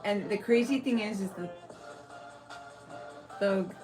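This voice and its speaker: A woman's voice: medium pitch, slow pace. A woman from El Paso with a Texan accent, chatting casually on a livestream, rambling and swearing freely. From El Paso, Texas. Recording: faint music behind.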